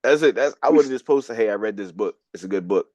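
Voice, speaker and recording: Podcast co-host, young man, low voice, blunt and profane, dry close sound. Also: animated, fast, darkish tone, no echo. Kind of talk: casual opinion chat.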